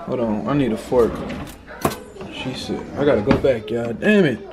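A man's voice: medium pitch, moderate pace. A man with an American accent chatting casually as an amateur home cook, muttering and repeating himself in bewilderment. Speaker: amateur vlogger cooking at home. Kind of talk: casual vlog chat. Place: small home kitchen, indoors. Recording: kitchen clatter and sizzling noise.

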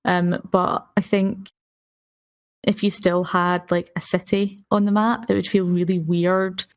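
A woman's voice: mid-range voice, flat delivery, measured pace. A woman chatting casually as a panellist on a podcast. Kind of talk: casual chat.

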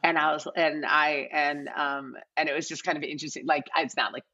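A woman's voice: sits low, steady tone, fairly quick, pushed and bright, slightly rough. A middle-aged woman chatting casually as a podcast guest, in a conversational way peppered with "like" and "you know". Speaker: guest, casting director. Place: large living room at home, indoors. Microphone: video call, no close mic seen. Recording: slightly dull video-call audio.

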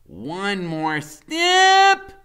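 A man's voice: sits high, huge pitch swings, drawn-out words, pushed and bright, clear, resonant tone, voice pushed up, heavily acted. A man voicing a small, imaginative boy who talks in grand, old-fashioned knightly phrases. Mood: grandiose.

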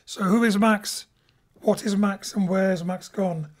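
Woman director hosting a casual post show chat, medium pitch. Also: steady tone, a little slow. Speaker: director and host.

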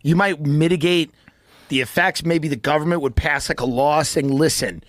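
A man's voice: on the high side, moderate pace, no room sound, studio quality. An American man in his thirties chatting as a podcast guest in a casual, sardonic, joking way. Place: indoor podcast studio. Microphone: close dynamic microphone on arm, headphones.

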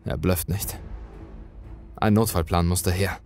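A man's voice: sits low, steady tone, slow pace, a little mellow, soft background music. A man reading a horror story as dramatic scripted narration.

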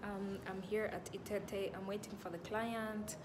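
A woman's voice: medium pitch, a little slow. Upbeat woman vlogging on her phone. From Rwanda. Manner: upbeat, chatty, excited. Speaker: real estate vlogger. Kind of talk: casual vlog talk to camera. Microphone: phone camera at arm's length.

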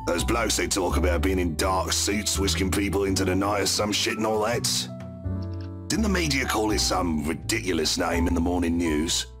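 Easygoing game bartender, voiced by a man, low pitch, patient and gently firm, music behind him. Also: normal speed, natural voice. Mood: casual. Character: easygoing, firm but kindly male bartender.

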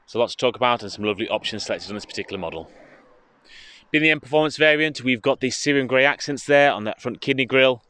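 A British man giving an informative, steady walkaround presentation as a car dealership presenter, speaking into a handheld phone outdoors. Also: mid-range voice, quick pace, clean tone, no room sound. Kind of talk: walkaround product presentation, semi-improvised commentary. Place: outdoors in a car park, partly inside a car.

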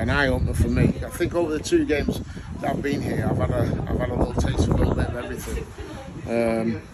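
A man in his thirties speaks frankly as a football manager after a match, recorded on a close phone mic outdoors at the ground. His voice sits at a middling pitch, and loud wind noise rushes behind him. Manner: frank, measured, repeats words while thinking. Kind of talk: post-match interview.

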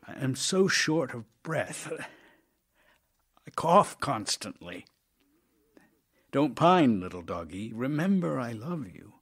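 A man's voice: mid-range voice, big pitch swings, takes it slowly, long pauses, breathy edge, heavily acted. An actor playing an ailing, tender, wry writer reading his love letters aloud in an audio drama, in a theatrical, intimate manner. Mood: wry, resigned, affectionate. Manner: theatrical, wry, intimate, shifting from emphatic to hushed.

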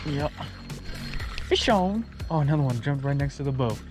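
A young man chatting casually while fishing from a small boat, excited and laughing between catches. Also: fairly high pitch, over music. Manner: excited, laughing, quick exclamations. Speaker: fishing vlogger. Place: small boat on a lake outdoors. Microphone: action camera.

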